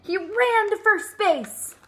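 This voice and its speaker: A woman giving an expressive, emphatic read-aloud of a children's storybook. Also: very high voice, slow pace. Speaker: storybook reader.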